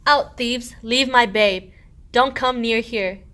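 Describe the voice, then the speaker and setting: A woman's voice: fairly high pitch, takes it slowly, a bit bright. A woman playing an irritable wife feigning agony after childbirth, groaning melodramatically in a verse radio play. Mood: agonized.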